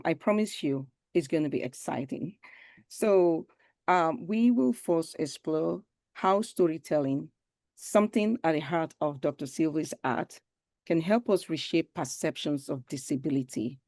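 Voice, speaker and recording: A woman's voice: sits low, unhurried, a little mellow, no room sound, clean studio sound. A woman moderating a conference session, introducing the guest formally and welcoming her, with "um" fillers.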